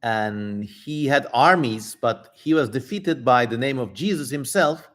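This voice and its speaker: A man's voice: medium pitch, expressive, a little slow, fairly bright tone. A man hosting a livestream in casual chat, with a wry, informal, digressive way of talking. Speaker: livestream host.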